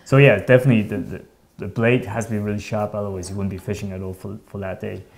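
A man in his thirties hosting a fishing show, explaining gear in a relaxed, hesitant way with many ums, often trailing off softly. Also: fairly low pitch, quick pace, gravelly. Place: bright indoor studio at a table.

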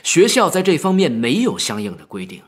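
A man playing a composed, liberal-minded professor and department head in an audio story, reasoning in a measured, authoritative way. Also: a little high, huge pitch swings, husky, heavily acted. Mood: calm, official.

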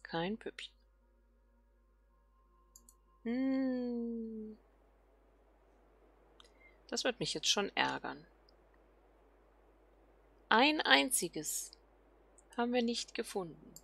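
A woman giving casual game commentary, musing softly and half whispering to herself. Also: on the high side, animated, very slow, creaky, long pauses. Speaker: let's player.

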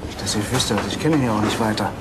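An actor playing a brusque, impatient freight company boss in a crime radio play. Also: male voice, fairly low pitch, fast talker, lightly acted. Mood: guarded. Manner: gruff, barking orders at workers. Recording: loud background noise of work yard.

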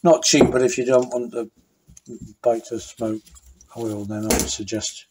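A man's voice: mid-range voice, measured pace, very noisy. Male mechanic, mid-range voice, casual workshop commentary with frequent restarts. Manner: thinking aloud, relaxed, with many fillers and restarts. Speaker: motorcycle mechanic.

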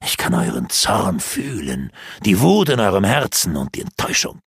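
A sinister dark elf sorcerer, as a male reader puts him on purrs his temptations low and hushed. The tone gloats and sneers, with a husky edge. The sound is close and dry, like a storybook reading. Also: huge pitch swings, normal speed, no room sound, exaggerated voice. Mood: sneering, persuasive.

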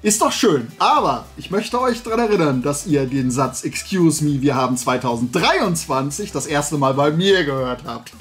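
Male host, middle-aged, voice a bit high, loose colloquial chat to camera, faint music underneath. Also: expressive, normal speed, hardly any pauses. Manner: chatty, rambling, colloquial. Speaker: host of a toy and comics show. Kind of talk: casual talk to camera. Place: indoor room lined with collectibles.

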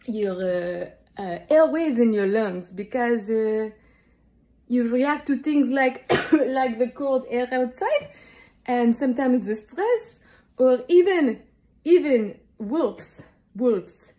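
Silly French doctor clown for kids' skit, played by a woman: high, very animated, apologetic, halting, French accent. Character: clumsy, silly French lung doctor clown entertaining children. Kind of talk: improvised children's show skit.

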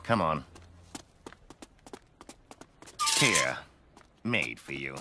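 A man's voice: extremely low pitch, very animated, slow pace, background noise, exaggerated voice. A man voicing a plump, eager older salesman in a cartoon, with courteous sales patter. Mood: persuasive. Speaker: caravan salesman. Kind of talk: cartoon voice acting. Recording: music behind the voice.

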